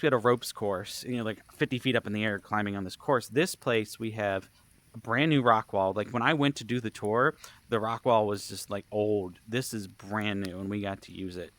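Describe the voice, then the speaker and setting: Casual low-voiced man rambling to viewers. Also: a bit bright, no echo. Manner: self-deprecating, jokey. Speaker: vlogger and camp event organizer. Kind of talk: casual rambling vlog storytelling.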